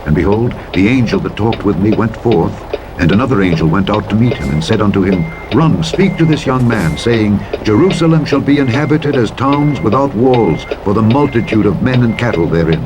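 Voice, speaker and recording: A man reads the King James Bible aloud as a narrator, in a solemn, deliberate, declamatory style with measured phrasing. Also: fairly low pitch, expressive, background noise. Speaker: scripture narrator. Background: truck driving sounds.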